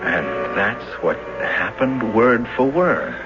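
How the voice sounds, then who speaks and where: An actor playing an earnest, stubborn husband with a deliberate manner in a mystery radio drama. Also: male voice, mid-range voice, sing-song, over music. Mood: thoughtful, uneasy. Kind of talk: old-time radio drama acting. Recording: muffled noisy radio drama recording.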